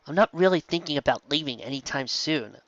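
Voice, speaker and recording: A man's voice: a little high, expressive, a little fast, fairly bright tone, no room sound, muffled recording. A man voicing a young female pegasus pony, casual, blunt and stubborn, in informal everyday phrasing. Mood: reluctant.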